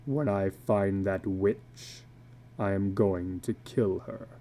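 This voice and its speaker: Casting: a male reader as a haunted, bitter older carnival drifter from a short story; low, dark voice, grave and brooding. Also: takes it slowly, a little hiss or hum. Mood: vengeful. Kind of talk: dramatic reading of a short story.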